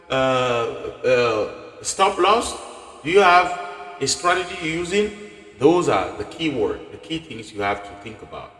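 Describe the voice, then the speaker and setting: A man, not a native English speaker since his first tongue is a local dialect, hosts a live trading stream in a loose, rambling way, looping back and restarting his sentences. His mid-pitched voice rings around an echoey room with a long reverb tail. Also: a bit bright. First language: a local dialect; English and French are later languages. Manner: rambling, repeats himself, stops and restarts. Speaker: forex trading channel host.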